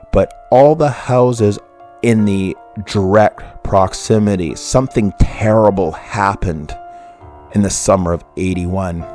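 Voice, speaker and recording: Deep-voiced man in his fifties recalling childhood. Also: darkish tone. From Canada. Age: 50s. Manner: rambling, with restarts and self-corrections. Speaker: podcast host. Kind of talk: personal true crime storytelling.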